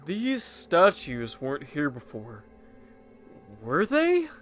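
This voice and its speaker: A man's voice: on the high side, huge pitch swings, takes it slowly, fairly bright tone, faint music behind, full character voice. An actor playing an angry, exasperated young man with a sardonic, foul-mouthed manner in an audio horror drama. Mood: bewildered.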